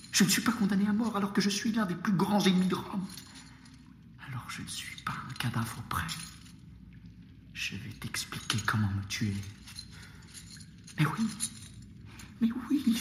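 An actor playing a taunting, death-obsessed prisoner of war in a Roman dungeon, insidious and insistent, in an audio drama. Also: male voice, high-pitched, echoey room, slight background noise. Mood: scheming. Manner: insidious, insistent, halting with repeated phrases. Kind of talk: audio fiction acting.

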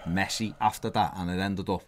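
Low-voiced English podcast co-host. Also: male voice, steady tone, slight background noise. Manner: measured, talks through club analysis. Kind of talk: casual football podcast chat.

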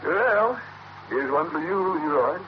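A man's voice: a little high, lively intonation, takes it slowly. An actor playing a pompous, blustering middle-aged American family man in a 1940s radio comedy. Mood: smug, prompting. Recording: muffled, noisy old radio recording.

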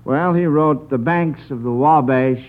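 A man's voice: medium pitch, a little slow, phone-quality sound. A man hosting an old-time radio quiz show in a jovial, folksy, chatty manner. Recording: band-limited old radio broadcast.

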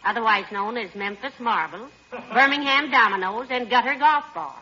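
A woman's voice: fairly high pitch, moderate pace, lightly acted. A woman playing a sensible, dryly witty middle-aged housewife with neat delivery in 1940s radio comedy style. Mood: dry, wry. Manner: dry, wry, neatly articulated asides. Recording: muffled noisy old radio recording, loud audience.

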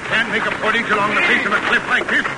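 A man's voice: high voice, fairly even intonation, rapid-fire, close to an everyday voice. An actor playing a blunt, folksy river boatman who challenges others in an old-time radio drama. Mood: scornful. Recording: noisy old radio recording.

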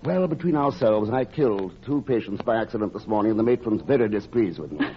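An actor playing a courteous English doctor in an old-time radio drama, polite and dryly playful. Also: male voice, fairly low pitch, lively intonation, fast, a little hiss or hum, natural voice. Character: courteous, gently teasing English male doctor. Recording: muffled vintage radio recording with music.

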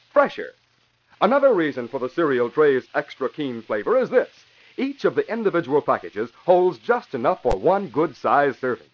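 An American male radio announcer of the 1940s with a swooping, animated delivery. He reads scripted copy emphatically, on a dull old recording. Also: on the high side. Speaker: announcer and narrator.

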